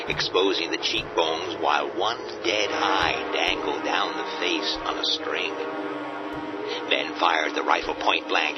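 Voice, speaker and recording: Deep-voiced grave horror narrator. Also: male voice. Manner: grave, measured storytelling. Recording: dull audio over loud music.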